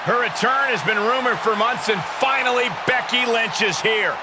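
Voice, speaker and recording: A man playing an excitable TV wrestling announcer in a video game, calling the action as sports commentary. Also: extremely high pitch, normal speed. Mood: excited. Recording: loud arena crowd and noise behind. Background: roaring arena crowd.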